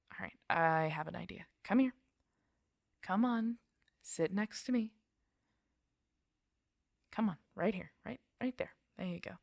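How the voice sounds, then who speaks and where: Gently creaky, affectionate woman as a soothing girlfriend. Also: medium pitch, takes it slowly, pauses often, no room sound, clean studio sound. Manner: soft, affectionate, coaxing, murmured delivery. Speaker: audio roleplay performer playing a girlfriend. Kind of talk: intimate girlfriend roleplay sleep-aid audio, spoken to the listener. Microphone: close microphone, dry sound.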